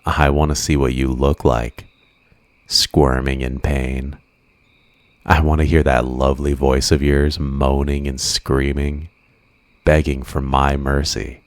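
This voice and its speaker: A man playing an obsessive, flirtatious supervillain in an ASMR roleplay, drawing out teasing, softly menacing endearments in a possessive way. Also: rumbling low, level tone, slow, dry recording, clean studio sound. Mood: cold menace.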